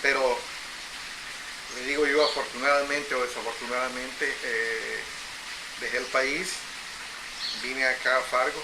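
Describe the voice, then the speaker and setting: Middle-aged man, mid pitch, reflective, audible background noise. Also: steady tone. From El Salvador. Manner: reflective, unhurried, repeats phrases. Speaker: interview guest, agricultural engineer. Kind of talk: personal storytelling in an interview.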